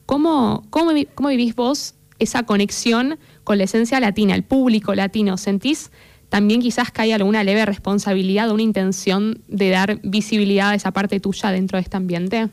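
A woman's voice: medium pitch, steady tone, moderate pace, darkish tone, no room sound. A woman with an Argentine accent conducting a conversational radio interview, earnest and slightly hesitant.

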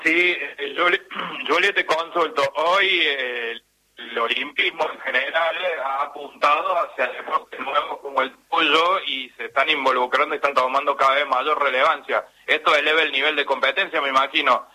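A man's voice: fairly high pitch, normal speed, pushed and bright, low-quality recording. A man asking a long, hesitant question on the radio. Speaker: radio reporter.

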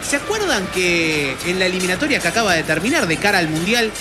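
A man giving measured, analytical football commentary on the radio. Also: sits high, very animated, music in the background.

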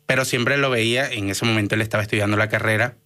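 Guest, Venezuelan man, 30s, lowish pitch, bright and forceful tone, earnest with lots of fillers. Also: fairly even intonation, fast. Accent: Venezuelan Spanish. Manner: earnest, many fillers and restarts. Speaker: guest, doctor and activist. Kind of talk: conversational interview answers.

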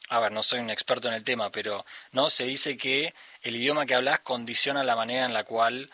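Bright, dry-voiced Argentine man. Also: mid-range voice, steady tone, a little fast, dry recording. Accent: Argentine Spanish. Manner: thoughtful, searching for words. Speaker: musician guest. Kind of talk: reflective conversational interview.

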